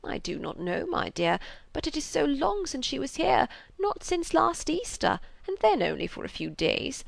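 Woman acting an old gentleman, gentle, doting, plaintive, rambling, creaky swooping voice, classic novel audiobook. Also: high voice, big pitch swings, normal speed, no echo, pitched above the performer's own voice, exaggerated voice. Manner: plaintive, hesitant, sighing, rambling.

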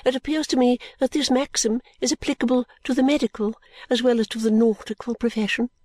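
A woman's voice: fairly high pitch, steady tone, brisk, darkish tone, no room sound, lightly acted. A woman reading an audiobook, voicing a proud, self-assured middle-aged lady who lectures at length. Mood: lecturing.

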